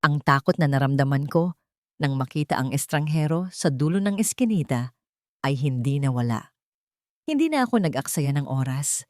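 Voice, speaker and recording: A woman's voice: sits low, darkish tone, dry recording, studio quality. A woman narrating a scripted first-person story in an earnest, dramatic storytelling manner.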